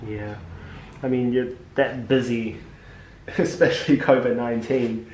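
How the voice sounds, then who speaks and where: A man's voice: mid-range voice, steady tone, a little slow, darkish tone. Young man, podiatrist, casual chat, easygoing, says "like" often, roomy echo, background noise. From Hong Kong, moved to New Zealand young. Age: young adult. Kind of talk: casual chat with a patient while working. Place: indoor treatment room.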